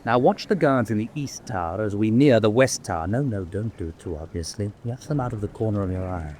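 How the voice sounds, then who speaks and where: A man's voice: fairly low pitch, lively intonation, brisk, darkish tone, a little hiss or hum, natural voice. A man playing a shrewd, confident prisoner of war, explaining things with self-assurance in a radio drama. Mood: sly, confident. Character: shrewd, confident POW plotting an escape. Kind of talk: vintage-style war radio drama acting.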